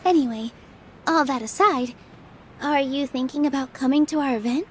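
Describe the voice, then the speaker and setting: A woman playing a gentle, modest young theater dancer in a video game, with a polite, friendly manner. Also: sits high, moderate pace, noisy background, lightly acted. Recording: game dialogue over clear background music. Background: music.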